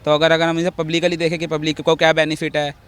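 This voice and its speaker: A man giving a measured opinion in an outdoor street interview, mixing in English words. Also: a little high, completely flat delivery, a bit bright, smooth. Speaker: member of the public giving opinion.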